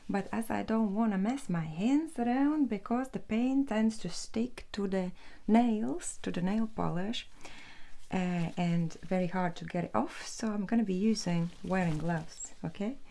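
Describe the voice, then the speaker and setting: A woman in her thirties speaking in a hushed, halting whisper as an ASMR host. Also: medium pitch, vocal fry. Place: indoor room at home with brick wall.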